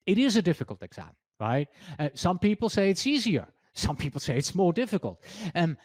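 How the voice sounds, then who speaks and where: A man with a Swiss German accent teaches an exam prep lesson, and his pitch sits a little high and swings widely. He talks in a chatty way, reads quotes off slides and stops to correct himself. The recording is dry and close. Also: darkish tone, dry recording. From Switzerland. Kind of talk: presentation lesson.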